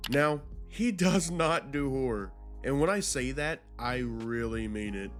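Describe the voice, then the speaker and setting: A man's voice: medium pitch, expressive, unhurried, soft background music. A man hosting a video commentary, narrating in an informal, slangy, conversational way. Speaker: YouTube host.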